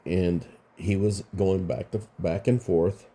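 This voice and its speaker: Congested, deep-voiced man talking slowly. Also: fairly even intonation, warm tone, slightly rough. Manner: rambling, repeats and restarts phrases. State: congested, voice sounds funny. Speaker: YouTube commentary host. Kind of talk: casual commentary vlog.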